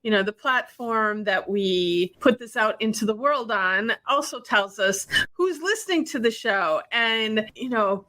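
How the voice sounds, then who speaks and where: Woman, from Wisconsin, podcast co-host, bright, higher pitch, animated storytelling, casual chat. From Wisconsin, USA. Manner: animated, quick storytelling with acted-out quotes.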